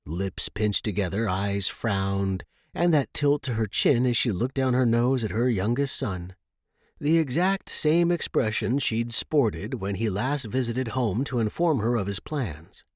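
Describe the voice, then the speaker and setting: A man's voice: mid-range voice, expressive, a little slow, no room sound, studio quality. A man reading a short story aloud as a scripted narration.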